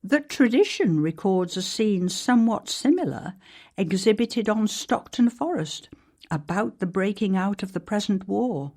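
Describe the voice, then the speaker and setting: A woman narrating an archaic newspaper account as a ghost story, in a measured, formal storytelling manner. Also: mid-range voice, expressive, a little mellow. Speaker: actor reading a historical newspaper article as a ghost story.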